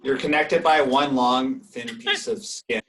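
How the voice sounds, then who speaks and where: A man contestant on a comedy panel game, bantering casually in a dry, deadpan way over a video call. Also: mid-range voice, a little slow, rough recording. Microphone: video call microphone.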